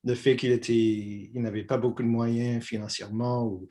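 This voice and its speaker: An older man talks as a guest in an easygoing dialogue. He speaks at a middle pitch, pausing and restarting his phrases often. He is from Quebec. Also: flat delivery, warm tone. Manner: halting, many restarts and fillers. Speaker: guest, peace activist. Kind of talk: conversational dialogue.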